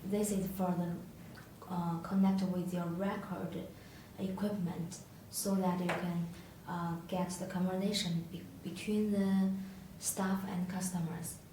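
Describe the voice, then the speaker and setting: Female product demonstrator, non-native English, lowish voice, halting tutorial delivery, heavily reverberant room recording. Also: flat delivery, mellow. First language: not English. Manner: halting, hesitant delivery with searching pauses. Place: echoey indoor room, tabletop demonstration. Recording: echoey room, distant mic, faint noise.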